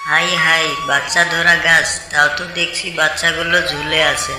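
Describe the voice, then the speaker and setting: A man's voice: on the high side, flat delivery, moderate pace, close to an everyday voice. A man playing a bewildered, kindly villager in a fairy-tale cartoon, dramatically talking to himself. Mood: startled, alarmed. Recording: echoey, loud music behind.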